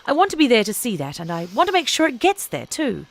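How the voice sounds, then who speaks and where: A woman narrator plays a bold young female agent in an audiobook adventure. She speaks forthrightly and with determination, her intonation very lively. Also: fairly high pitch, fast, gravelly, voice pushed up, full character voice. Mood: determined.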